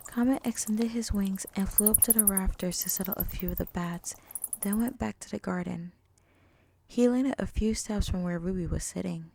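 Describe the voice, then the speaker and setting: A woman with a soft voice reading a story aloud as its narrator. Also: mid-range voice, moderate pace, gravelly, no room sound. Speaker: narrator and author.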